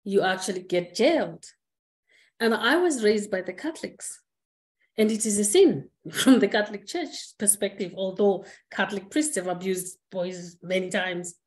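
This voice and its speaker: A middle-aged woman from Zimbabwe speaking on a webinar call, reflective and earnest, pausing on fillers and restarting her sentences. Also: mid-range voice. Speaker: guest speaker. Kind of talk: candid personal conversation. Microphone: webinar video call.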